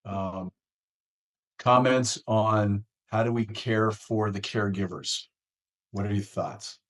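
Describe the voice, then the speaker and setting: A man's voice: lowish voice, slow pace, fairly bright tone. A middle-aged or older American man hosting a conversational interview, with wry, folksy turns of phrase. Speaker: podcast host.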